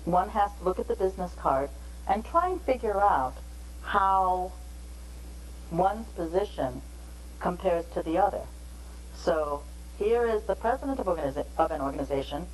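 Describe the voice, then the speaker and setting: A woman giving a measured, explanatory training lecture on interpreting, pausing between phrases. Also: on the low side, animated, slight background noise, low-quality recording.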